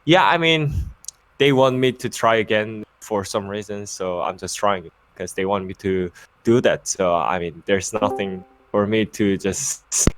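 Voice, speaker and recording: A young man from Busan who speaks English with a Korean accent, a former pro player and caster chatting casually in an interview, halting with many fillers and restarts. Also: fairly low pitch, fairly bright tone, slight background noise, low-quality recording. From Busan, South Korea. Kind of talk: casual interview over a call.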